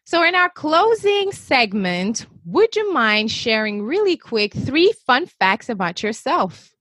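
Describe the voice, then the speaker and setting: A woman's voice: high voice, sing-song, slow, fairly bright tone, dry recording. Woman hosting, dry close sound. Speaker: podcast host. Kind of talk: casual interview.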